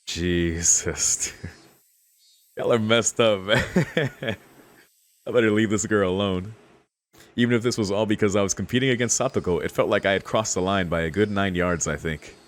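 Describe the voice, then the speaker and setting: A man's voice: lowish voice, normal speed. A man streaming a game, reading its text aloud and reacting casually with slangy exclamations and swearing. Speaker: gaming streamer reading a visual novel aloud.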